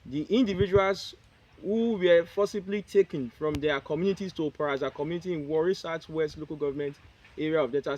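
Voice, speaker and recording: A man reading a prepared statement aloud at a press conference, in short, halting phrases and with the odd restart. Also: on the high side, darkish tone, rough recording.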